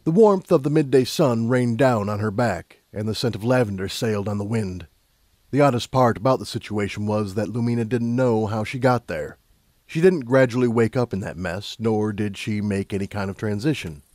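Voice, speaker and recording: Guy reading an audiobook, lowish voice, measured dramatic storytelling, dry close sound. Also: darkish tone, no echo. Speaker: audiobook narrator. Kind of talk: scripted story narration.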